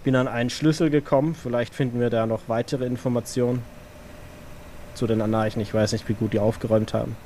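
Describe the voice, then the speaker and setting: A man playing an earnest vampire scout in an audio drama, reporting in a halting, matter-of-fact, self-correcting way. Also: medium pitch, steady tone, mellow, natural voice. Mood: earnest, hesitant. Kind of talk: audio drama acting, improvised feel. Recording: background noise and ambience.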